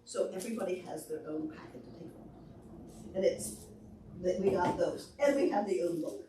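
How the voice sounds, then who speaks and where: Woman doing a kids library talk, animated n simple, echoey room, mic far away. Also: lowish voice, normal speed, background noise. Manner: animated, demonstrative, simple explanations with questions. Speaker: visiting presenter at a children's library program. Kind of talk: interactive talk to preschoolers and parents. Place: large indoor library meeting room. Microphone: far camera. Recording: echoey room, distant camera microphone.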